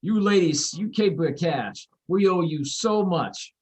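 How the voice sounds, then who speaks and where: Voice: medium pitch, animated, a little slow, close to an everyday voice. A performer doing a brash Philly guy. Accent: Philadelphia. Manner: brash and chatty. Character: brash middle-aged man from Philly.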